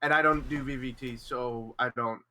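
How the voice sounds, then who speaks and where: A man's voice: medium pitch, fairly even intonation, normal speed, pushed and bright. A man chatting casually as a tabletop player, brash and sweary. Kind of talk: casual chat.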